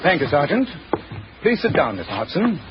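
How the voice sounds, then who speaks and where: Incisive gentleman detective, very varied intonation, old noisy radio drama sound. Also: on the high side, wildly swooping pitch, moderate pace, highs cut off. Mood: courteous, probing. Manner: crisp, probing, dryly sardonic.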